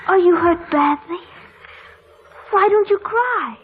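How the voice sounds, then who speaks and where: A woman's voice: very high-pitched, expressive, slow, slight background noise, exaggerated voice. An actress playing an imperious, affectionate and fanciful little girl in an old radio drama. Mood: tender. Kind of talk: 1940s radio drama acting. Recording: muffled radio broadcast with music.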